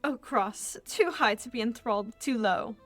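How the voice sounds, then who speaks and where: A woman's voice: a little high, fairly bright tone, soft background music. A young woman playing a devoted young Athenian lady in love, reciting Shakespearean verse earnestly in a radio play. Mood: sorrowful, resolute. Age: young adult. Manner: earnest, measured verse delivery.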